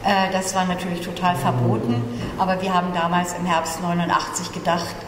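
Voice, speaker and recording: An older woman from East Germany speaking haltingly and earnestly as a panelist introducing herself. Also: fairly low pitch, steady tone. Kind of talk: self-introduction at a panel discussion. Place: large conference room indoors. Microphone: table gooseneck microphone. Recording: echoey hall with clear background noise.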